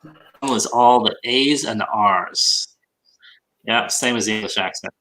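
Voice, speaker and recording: A man's voice: fairly low pitch, a little slow, bright, forceful tone, a little hiss or hum, rough recording. A man with a Minnesota accent hosting a casual podcast hangout, teasing his co-host in friendly banter while he works. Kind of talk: casual chat while listing items.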